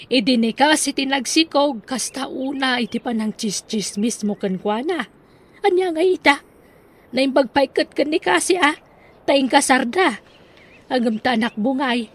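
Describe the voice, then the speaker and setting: Woman acting a high husky village gossip. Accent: Ilocano. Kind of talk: Ilocano radio drama acting.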